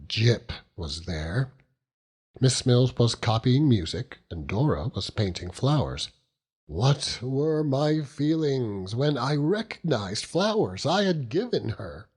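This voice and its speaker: Male audiobook reader, low and unhurried, wry and theatrical, with wide swings of pitch. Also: a little mellow, slightly rough. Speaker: audiobook narrator. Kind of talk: expressive literary narration in the first person.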